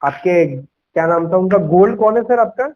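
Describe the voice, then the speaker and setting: A man's voice: high-pitched, animated, normal speed. A young man talking as a sales coach on a phone call, persuasive and conversational, repeating himself and mixing in English words. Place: at home. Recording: dull lo-fi call recording.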